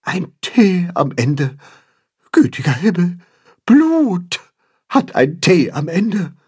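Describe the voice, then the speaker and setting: A man's voice: high voice, big pitch swings, slow pace, a little mellow, breathy edge, pitched above the performer's own voice, exaggerated voice. A man voicing an agitated, scolding gander who dramatizes everything in a children's story. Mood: horrified. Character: blustering, alarmist gander with old-fashioned exclamations.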